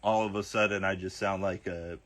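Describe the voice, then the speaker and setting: Man, soft Southern accent, halting livestream host, lower pitch, bright tone. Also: fairly even intonation. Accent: Southern US, partly masked. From Southern United States. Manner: hesitant, many fillers and restarts. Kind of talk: casual livestream Q and A.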